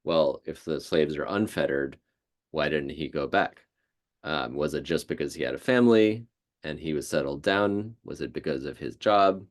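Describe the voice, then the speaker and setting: A man giving a considered, hesitant explanation as a guest lecturer answering questions after a talk. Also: a bass voice. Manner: thoughtful, hesitant, measured. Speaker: guest speaker, historian.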